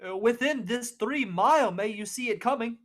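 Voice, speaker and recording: A young man acts a nervous, deferential royal servant in a radio Shakespeare drama. His voice sits very high for a man. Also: moderate pace. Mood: fearful. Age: young adult. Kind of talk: 1940s radio play Shakespeare acting.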